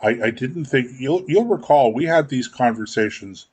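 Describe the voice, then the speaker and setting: A man's voice: medium pitch, animated, normal speed, a little mellow, breathy edge, dry recording. A man co-hosting a political talk radio show, chatting casually and often repeating words as he works out his thoughts. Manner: rambling, repeats words while thinking.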